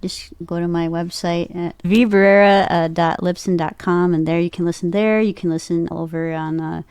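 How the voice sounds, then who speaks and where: A woman's voice: lowish voice, fairly even intonation, normal speed, a little mellow, smooth, no echo. A woman speaking casually as a guest in an interview about her own work. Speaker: podcast guest, voice actor and podcaster.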